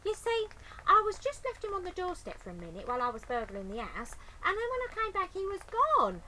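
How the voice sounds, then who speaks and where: A woman voicing an excitable working-class lady burglar and loving mum in a storybook, with colloquial, ungrammatical, exclaiming speech. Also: very high voice, a little fast, a little hiss or hum, voice pushed up, full character voice, poor audio. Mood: rueful.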